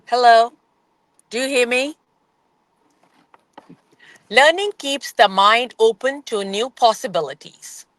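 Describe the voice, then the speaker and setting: Woman panelist, very slow careful reading, high-ish voice, dry sound. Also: a bit bright, no echo, rough recording.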